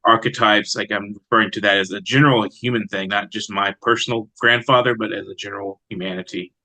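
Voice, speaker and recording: Poet guy being interviewed, lowish voice but bright and sharp, thoughtful. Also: steady tone, fast, low-quality recording. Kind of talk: interview answers and poetry reading.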